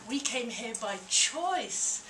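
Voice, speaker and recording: A woman's voice: on the high side, expressive, very slow. A British woman doing a stand-up comedy set to a live audience, with a dry, teasing, self-mocking delivery. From Britain. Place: function room with a live audience. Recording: echoey room, mic at a distance, loud noise. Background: audience laughter.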